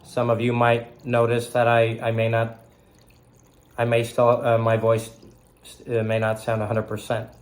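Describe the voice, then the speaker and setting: Recovering man with a flat, halting voice. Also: mid-range voice. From Southern California. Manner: slow, halting, with frequent fillers and throat clearing. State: recovering from illness, slight cough. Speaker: host of a faith broadcast. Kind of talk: casual spoken tribute.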